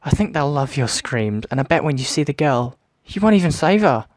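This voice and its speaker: A man's voice: on the high side, big pitch swings, fairly quick, no room sound, clean studio sound. A man playing a menacing, grandiose villain in an audio drama, gloating and taunting with theatrical cruelty. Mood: mocking, bitter. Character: menacing theatrical male villain lurking in the shadows, gloating sadist.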